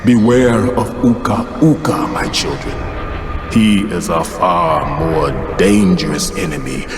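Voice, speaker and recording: A man's voice: deep voice, music in the background. A man voicing a wise old guardian mask spirit in a video game, fatherly, grave and solemn. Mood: solemn warning. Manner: solemn, measured. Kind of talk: video game character acting. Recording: echoey, long reverb.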